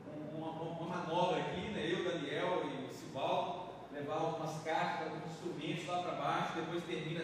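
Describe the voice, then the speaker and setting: A male pastor from Brazil preaches in a chatty, conversational style, his voice sitting fairly high for a man. He is picked up from a distance, with a long reverberant church hall tail. Steady room noise sits underneath. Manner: conversational preaching, frequent tag questions to the congregation. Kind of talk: sermon. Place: church sanctuary. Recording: echoey church hall from far off with background noise.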